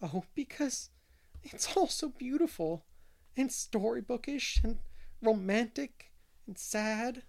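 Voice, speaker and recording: A man's voice: a little high, normal speed, darkish tone, natural voice. A man reading as an earnest, kindly young woman from a storybook, romantic and dreamy yet firm, with literary phrasing. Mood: tearful, dreamy.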